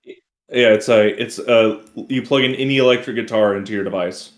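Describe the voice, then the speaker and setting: Male co-host, lower pitch, roomy echoey mic, plain explaining manner. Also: fairly quick. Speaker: podcast co-host. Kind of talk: casual chat. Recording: echoey room.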